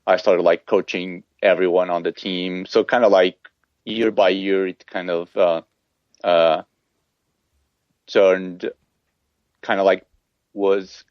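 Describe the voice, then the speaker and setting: A man's voice: low-pitched, steady tone, slow pace, long pauses, no room sound, dull audio. A middle-aged man from Portugal, a triathlon coach, talking casually in an interview with many fillers and restarts. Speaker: triathlon coach, podcast guest.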